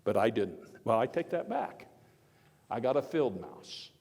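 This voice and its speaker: A man playing Theodore Roosevelt as a hearty, folksy elder statesman, giving a halting, oratorical first-person speech of reminiscence. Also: mid-range voice, animated, gravelly, a little mellow, husky, exaggerated voice. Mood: wry, folksy. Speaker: historical reenactor giving a speech. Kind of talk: first-person dramatized historical speech, storytelling. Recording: echoey hall with long reverb.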